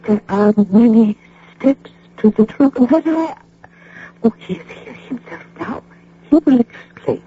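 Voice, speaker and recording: Devoted nurse to a mystic master, deferential, formal, husky, lilting, radio drama. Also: female voice, on the high side, expressive, a little slow. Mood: reverent, courteous. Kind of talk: old-time radio drama acting. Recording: muffled old radio recording.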